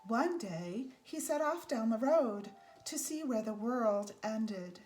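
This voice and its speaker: Higher-voiced woman reading a children's storybook. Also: lively intonation, takes it slowly, darkish tone, faint music behind. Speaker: storybook reader. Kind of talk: read-aloud children's picture book narration. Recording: faint background noise.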